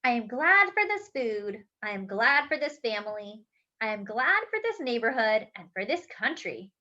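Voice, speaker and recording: Woman putting on a brisk storybook grandmother. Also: fairly high pitch, big pitch swings, pushed and bright. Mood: kindly. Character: brisk, caring grandmother running the household. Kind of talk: storybook character voice. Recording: dull lo-fi recording.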